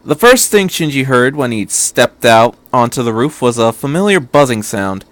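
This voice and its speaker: A man narrates a story as an amateur reader. His voice sits at a medium pitch and moves with animated intonation. The recording is dry and close, with very little room sound. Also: normal speed, no echo. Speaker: amateur fan fiction reader.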